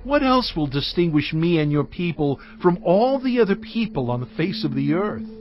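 An actor playing Moses in a dramatized audio Bible, speaking in an earnest, pleading, drawn-out way. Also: male voice, fairly high pitch, very animated. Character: Moses, pleading humble leader, adult man. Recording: slightly dull audio with music bed.

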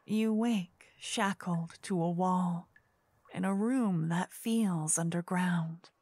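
A woman narrating a scripted second-person fantasy story in ASMR style, hushed, measured and suspenseful. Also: on the low side, dry recording.

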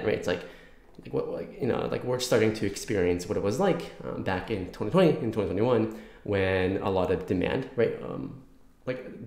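Young man, raspy from illness, gravelly, casual rambling commentary, echoey small room. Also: on the low side, a little mellow. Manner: loose, rambling delivery full of fillers. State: raspy from recent sickness. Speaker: crypto channel host.

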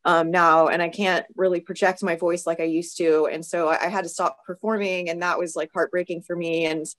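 A woman in her thirties with a permanently hoarse, raspy voice, talking as a guest on a video call, thoughtful and full of fillers and restarts. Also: fairly low pitch, level tone, brisk. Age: 30s. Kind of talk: casual video-call interview. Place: home room. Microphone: video call webcam.

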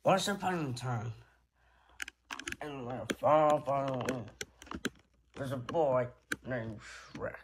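A man improvising bedtime stories in a halting, rambling, playful way. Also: medium pitch, noisy background. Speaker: storyteller. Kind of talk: improvised bedtime storytelling with toys.

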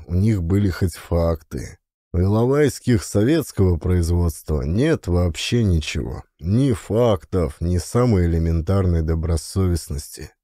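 A man narrating a first-person autobiographical audiobook in an expressive storytelling style. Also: deep voice, no room sound, studio quality. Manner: varies voice for characters' dialogue.

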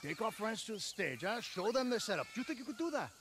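A man's voice: high-pitched, big pitch swings, fast, background noise. A man playing a volatile, swaggering cartel-heir party host in a video game, effusive with a menacing edge, often ending phrases with "huh". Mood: scornful, bossy. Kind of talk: video game cutscene acting.

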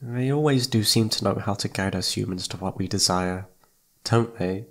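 A man voicing a shy, adoring young boyfriend in an ASMR roleplay, with soft, breathy, pleading, hesitant speech. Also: fairly low pitch, normal speed, voice pushed down. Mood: adoring, grateful.

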